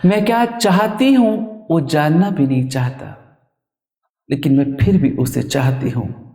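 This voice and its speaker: A man reciting his own poetry in a dramatic, emotive style, with deliberate delivery. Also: fairly high pitch, warm tone. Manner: emotive, deliberate, with repeated refrains. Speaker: poet reciting own work. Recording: roomy echo, distant microphone.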